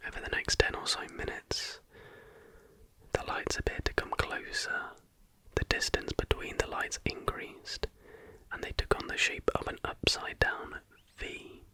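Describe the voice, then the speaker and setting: An ASMR host reading a scripted account in a whisper, with unhurried, deliberate phrasing. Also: sharp tone, no echo.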